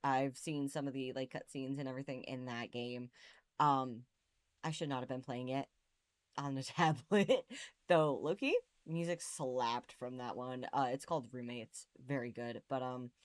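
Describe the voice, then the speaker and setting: A woman's voice: rumbling low, moderate pace, no echo. A young adult woman giving casual, gushing commentary while she plays a visual novel and reads from it. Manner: excitable, gushing, stumbles while reading. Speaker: streamer playing a visual novel. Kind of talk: casual gaming commentary mixed with reading game text aloud. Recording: music playing behind the voice.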